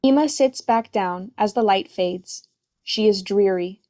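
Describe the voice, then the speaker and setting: A woman's voice: mid-range voice, measured pace, warm tone. A woman reading stage directions as scripted, present-tense narration for a play.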